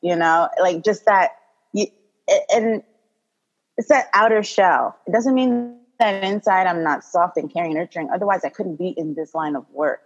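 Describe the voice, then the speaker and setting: Calm, direct woman with a husky voice. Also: lowish voice, normal speed, highs cut off. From Congo. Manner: calm and direct, laughs often. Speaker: guest, doula. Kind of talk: casual podcast interview.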